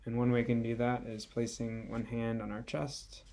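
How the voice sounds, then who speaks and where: Male meditation guide: soft-edged mellow tone with noticeable vocal fry, gentle delivery, patient pauses. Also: medium pitch, flat delivery. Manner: gentle, unhurried, with long pauses between phrases. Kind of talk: guided body scan meditation.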